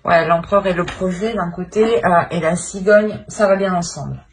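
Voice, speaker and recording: A woman's voice: on the low side, normal speed, slight background noise. A woman giving an improvised tarot reading straight to the viewer, feeling her way through repeated phrases and tag questions. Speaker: tarot reader, channel host.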